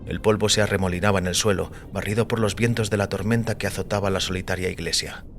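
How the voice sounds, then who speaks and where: Low-voiced male audiobook narrator. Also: steady tone, fast. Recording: long reverberant hall with music behind. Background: music.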